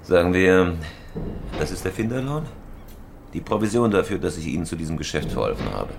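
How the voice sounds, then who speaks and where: A man's voice: deep voice, animated, brisk, vocal fry, a little hiss or hum. A man playing a cool, hard-nosed professional robber in a German radio crime drama, terse and businesslike. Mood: calm, sly. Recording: loud music bed.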